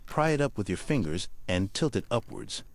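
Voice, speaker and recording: A man's voice: on the low side, animated, moderate pace, creaky. A man giving scripted instructional narration, guiding the listener carefully through each step. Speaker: repair tutorial narrator.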